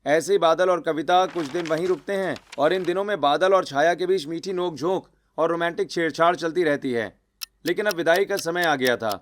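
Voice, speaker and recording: A man reading scripted narration for an animated family drama story. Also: fairly high pitch, a little fast, a little hiss or hum. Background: music.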